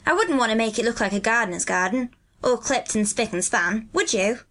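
A woman's voice: on the high side, expressive, brisk, fairly bright tone, pitched above the performer's own voice. A woman voicing a cheerful, earthy twelve-year-old country boy in a storybook, with a hearty, good-natured Yorkshire dialect. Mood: musing.

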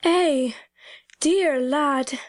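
Storybook country mother played by a woman: high, slow, affectionate Yorkshire voice, close and dry. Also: steady tone, no echo. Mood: tender, moved. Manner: tremulous, tender. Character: tender, affectionate country mother, kindly and sincere.

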